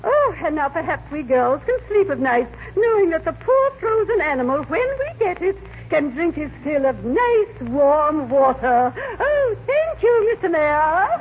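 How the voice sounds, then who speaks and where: High, fluttery, haughty society matron. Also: female voice, sing-song, a little slow. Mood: gushing. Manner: pompous, formal, affected diction. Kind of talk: 1940s radio comedy acting. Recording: noisy, muffled 1940s radio recording.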